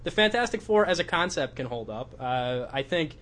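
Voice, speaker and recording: A man's voice: fairly high pitch, expressive, moderate pace, a bit bright. A man chatting casually as a podcast panelist, colloquial and irreverent in his way of talking. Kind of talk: casual, joking comics discussion.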